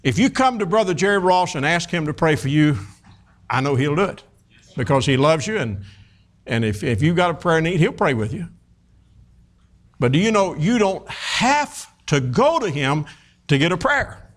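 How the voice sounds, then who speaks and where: A man in his fifties preaching a sermon, folksy and animated, telling stories with many fillers and restarts. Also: on the high side, moderate pace.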